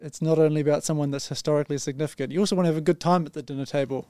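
A native English-speaking man, a department head, chatting as a guest on a podcast. His voice is mellow and smooth, a little higher than most men's. His talk is friendly and relaxed, with "you know" fillers, and the sound is dry. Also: racing, dry recording. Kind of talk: casual podcast conversation.

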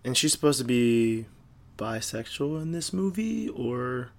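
A man's voice: mid-range voice, very animated, measured pace. A man co-hosting a casual podcast about movies, joking wryly and sarcastically. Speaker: podcast co-host.

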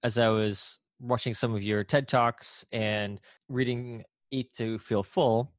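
A man hosting an interview, raising workplace observations in a thoughtful, measured way. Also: mid-range voice, steady tone, no room sound. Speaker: interview host working in healthcare. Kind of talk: conversational interview questions.

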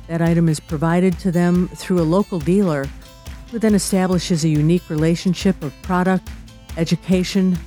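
A woman's voice: low voice, warm tone. A woman giving upbeat, scripted narration for an event video. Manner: upbeat promotional delivery.

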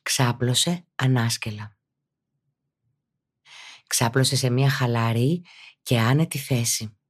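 A woman speaking as a yoga teacher and podcast host, guiding a meditation in a calm, instructive, deliberate way. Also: a bass voice, breathy edge. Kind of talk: guided meditation narration.

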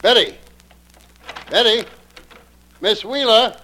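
An actor playing a brisk, wry male theater stage manager in an old radio drama. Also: sits high, sharp tone, slight background noise, full character voice, poor audio. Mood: brisk, businesslike. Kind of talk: 1940s radio acting.

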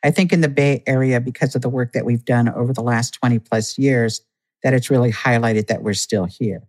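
A man speaking as an interview guest, earnest and explanatory, laying points out at a steady, measured pace. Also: medium pitch. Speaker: guest, Native community organizer. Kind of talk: conversational interview.

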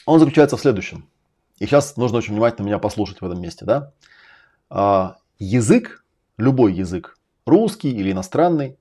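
A man's voice: on the low side, mellow. A man hosting a live stream, lecturing in a casual, emphatic way, categorical and often swearing. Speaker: host and language-learning coach.

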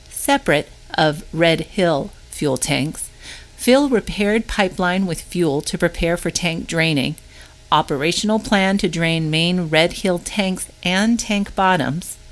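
A woman with a slightly low voice reads a written news update aloud. She delivers it as scripted narration, in the role of a newsletter reader going through items one after another.

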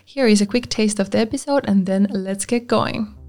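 Woman co-host, heavy vocal fry, measured reads of intros and questions. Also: medium pitch, a little mellow, faint music behind. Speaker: podcast co-host. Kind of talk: podcast hosting, partly scripted.

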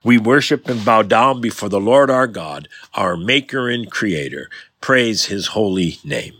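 Low, husky man reading devotions. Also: animated, unhurried, fairly bright tone. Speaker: pastor and podcast host. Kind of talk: devotional scripture reading and prayer.

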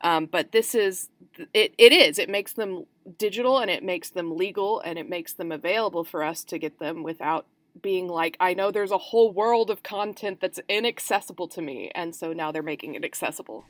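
A woman's voice: fairly low pitch, normal speed, no echo. A woman giving her opinions as a panelist in a casual podcast review chat.

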